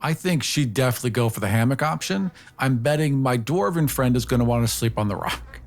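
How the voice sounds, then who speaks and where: Casual mid-pitched man playing a tabletop game. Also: lively intonation, fairly quick. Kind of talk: casual chat, thinking through in-game actions.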